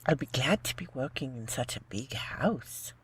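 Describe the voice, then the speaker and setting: A woman's voice: a bass voice, lively intonation, normal speed, husky, no room sound, whispered, full character voice. A woman acting a meek, naive housekeeper in a horror radio play, deferential, hesitant and uneasy. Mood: eager, polite.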